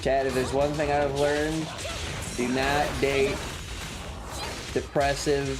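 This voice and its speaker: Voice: mid-range voice, level tone, takes it slowly, over loud music. A streamer chatting casually over a game in a sardonic, mock-serious way, taking time over the words. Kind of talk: casual livestream chat and game commentary.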